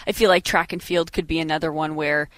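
Low-pitched woman co-hosting sports radio. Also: fairly even intonation, fast talker, fairly bright tone, no room sound. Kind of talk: casual sports talk banter.

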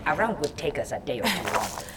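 A woman's voice: deep voice, sing-song, fast talker, far from the mic, heavy background noise. A woman voicing a haughty, scornful spirit companion in a fantasy audio drama, curt and disdainful in manner.

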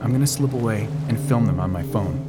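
A man's voice: on the low side, brisk, loud music behind the voice, natural voice. A man acting in an audio drama as an obsessive, insistent conspiracy theorist. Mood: urgent, insistent. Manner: earnest, insistent explaining. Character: obsessive male conspiracy theorist, earnest, defensive, urgent.

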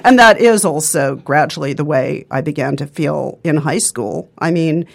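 A woman's voice: low voice, normal speed, vocal fry. Woman with a faint English accent. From United States. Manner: wry, laughs often. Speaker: writer, interview guest. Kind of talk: candid interview talk.

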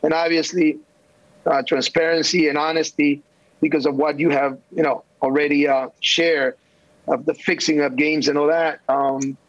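A middle-aged man from El Salvador, a national team coach, answering interview questions haltingly with many fillers and restarts. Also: on the high side, little pitch movement, dry recording. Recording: dull, dry remote line.

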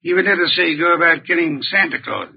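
An actor playing a pompous, deliberate, eccentric genius detective in an old radio drama, with formal, precise diction. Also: male voice, on the high side, vocal fry, slightly rough, full character voice. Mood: measured, expository. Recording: muffled vintage radio recording.

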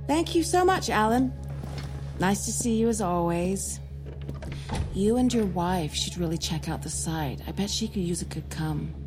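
A woman's voice: mid-range voice, lively intonation, measured pace, music in the background, lightly acted. A woman playing a bold, teasing investor. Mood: defiant. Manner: cool, teasing, unapologetic. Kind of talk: audio drama acting.

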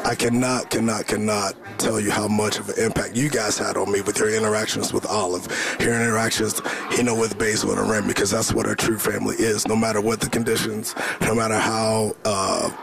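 A man with a low, gravelly voice talks casually and sincerely as a staff member. He leans on repeated words to stress his point. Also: level tone, fairly quick, fairly bright tone, faint music behind. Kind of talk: casual heartfelt testimonial.

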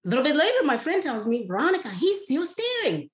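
Lively woman telling a story. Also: high-pitched, fast, reverberant. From Kenya. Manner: animated, laughing storyteller. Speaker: guest. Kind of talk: storytelling in a casual chat.

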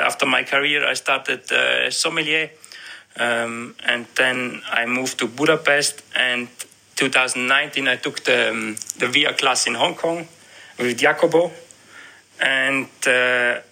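Gravelly man with a German accent. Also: mid-range voice, pushed and bright. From South Tyrol, Italy. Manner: halting, simple phrasing. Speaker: sommelier.

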